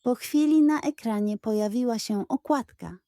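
A woman's voice: on the high side, moderate pace, dark tone, dry recording, studio quality. A woman narrating a scripted children's bedtime story as a storyteller.

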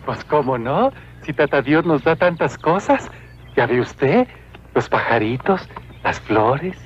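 An actor playing a humble, devout young peasant in an animated film, earnest and deferential, with a Mexican accent. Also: male voice, on the high side, takes it slowly, a little hiss or hum. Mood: cheerful, earnest. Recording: dull lo-fi old film soundtrack.

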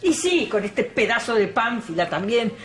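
A blunt older Argentine woman in a TV melodrama. Her voice sits fairly high as she scolds and bosses protectively. Loud music plays underneath. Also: big pitch swings, moderate pace. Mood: indignant complaining. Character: brusque, indignant older working woman, bossy and protective. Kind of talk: telenovela acting. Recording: music playing under the dialogue.

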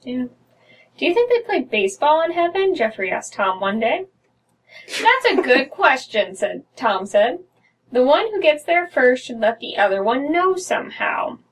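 A woman's voice: high-pitched, sing-song, normal speed. A young American woman co-hosting, switching between casual banter and reading stories aloud. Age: young adult. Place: small dim room indoors. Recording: somewhat distant from mic.

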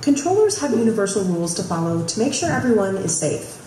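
A woman's voice: medium pitch, very animated, fast, mellow. A woman reading scripted documentary narration in an explanatory way. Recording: echoey, distant replayed video, noisy.

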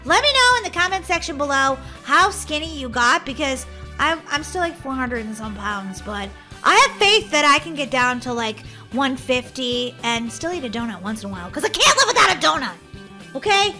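A woman giving excitable game commentary as a video host, in a girlish, dramatic, exclamatory manner. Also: sits high, moderate pace, faint music behind.

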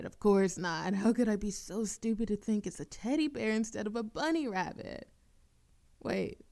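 Slow-talking, teasing young barista played by a woman. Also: mid-range voice, darkish tone.

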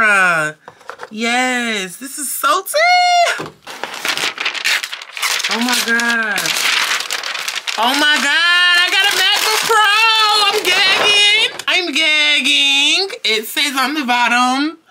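Excitable teen girl vlogger, noisy. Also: high-pitched. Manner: excitable, bursts into squeals and slangy exclamations. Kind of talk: casual vlog chat to camera. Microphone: handheld camera close to face.

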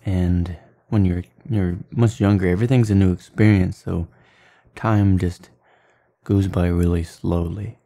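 Guy late 20s rambling about his day, fillers everywhere, low-ish warm voice. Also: steady tone, a little slow, gravelly, reverberant. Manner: meandering, many fillers and restarts. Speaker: art vlogger. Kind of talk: casual rambling vlog; voice-over while drawing. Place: small apartment kitchen, indoors. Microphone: handheld camera at arm's length.

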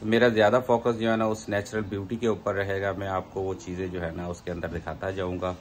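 A man's voice: low-pitched, flat delivery, brisk, slight background noise. A middle-aged man with a Pakistani Urdu accent, chatting unhurriedly to a phone camera for a travel vlog, with many fillers and asides. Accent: Urdu-speaking, Pakistani. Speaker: travel vlogger. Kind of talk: casual travel vlog narration. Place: indoors by a plain wall, then driving on a highway. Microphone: phone camera at arm's length.

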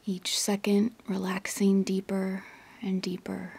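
A woman leading a guided meditation in a soft, breathy voice that often nears a whisper, giving gentle instructions with long pauses. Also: medium pitch, monotone. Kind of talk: guided yoga nidra meditation.

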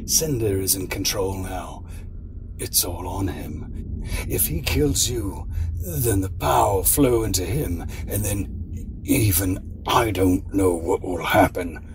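A bitter, condescending mastermind from an audio drama, played by a man. He speaks low and unhurried, with dramatic, deliberate weight. Clear noise sits behind the voice. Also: expressive, heavily acted. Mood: grave, ominous. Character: scheming, bitter male cult mastermind, condescending and grandiose. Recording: noisy background ambience.

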